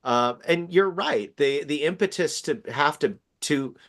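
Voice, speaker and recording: A man in a casual panel discussion, speaking reflectively and haltingly, restarting phrases as he thinks back. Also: fairly high pitch, lively intonation, a bit bright. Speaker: theatre panel participant.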